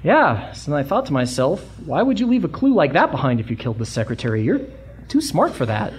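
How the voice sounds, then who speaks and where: A woman's voice: low voice, sing-song, brisk, a little mellow, a little hiss or hum. A woman playing a folksy, talkative young delivery boy in a 1940s-style radio adventure. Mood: earnest.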